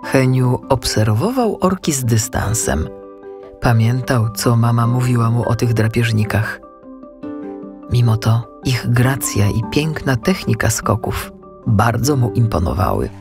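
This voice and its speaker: A man narrating a children's story as an audiobook, with expressive scripted delivery. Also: mid-range voice, unhurried, gravelly, over music.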